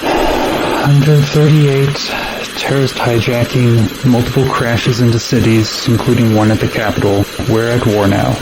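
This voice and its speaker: An actor playing a stern military air traffic controller in an audio drama, with a clipped, commanding manner. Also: male voice, mid-range voice, steady tone, measured pace, close to an everyday voice. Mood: grim. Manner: clipped, commanding radio procedure. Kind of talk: modern audio drama acting. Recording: radio chatter with clear background noise.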